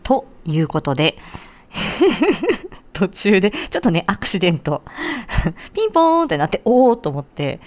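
A woman's voice: mid-range voice, very animated, normal speed. A woman hosting a casual audio live stream, chatty and self-deprecating in a giggly way. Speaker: host of an audio live stream, amateur singer.

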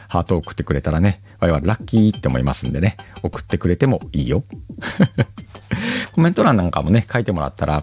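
Low-voiced guy reading listener letters on his show, bouncy lively delivery, laughs a lot, trips over words. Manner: relaxed, chatty, laughs often, stumbles over words. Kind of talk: casual solo chat.

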